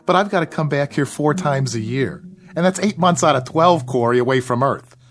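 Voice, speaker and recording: A man's voice: mid-range voice, expressive, normal speed, soft background music, lightly acted. An actor playing a blunt, sardonic and resentful spaceship crewman in an audio drama. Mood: bitter.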